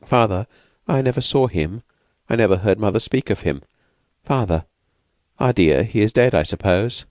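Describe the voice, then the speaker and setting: A man voicing a frightened, fragile young woman who has escaped from an asylum, speaking softly and hesitantly. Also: on the low side, lively intonation, moderate pace, no echo, voice pushed up, close to an everyday voice. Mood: fearful, wistful. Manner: hesitant, vacant, soft-spoken.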